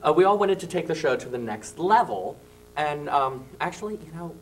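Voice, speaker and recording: A young man talking as a guest on a small local TV studio show, halting with many fillers and small laughs, into a handheld mic. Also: on the high side, lively intonation, recorded from a distance, a little hiss or hum, rough recording. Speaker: cast member guest. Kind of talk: casual studio chat. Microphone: handheld mic held by host.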